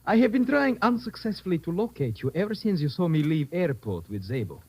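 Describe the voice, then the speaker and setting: A man's voice: on the high side, big pitch swings, a little fast, a little mellow. A man playing a small, smugly polite foreign villain in an old radio drama, using mock courtesy and broken English. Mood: smug menace. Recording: noisy old radio recording.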